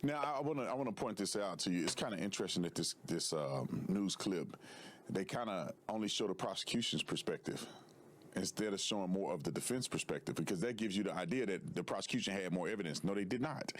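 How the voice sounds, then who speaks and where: A man giving measured, slightly halting commentary in a clip played on a stream. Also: sits low, lively intonation, slight background noise. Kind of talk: explanatory commentary.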